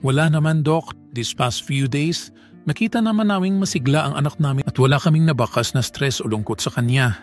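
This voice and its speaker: A man's voice: fairly high pitch, a little fast, natural voice. Subdued, worried father, as a man acts him. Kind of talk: Filipino audio drama dialogue. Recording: music bed under the voice.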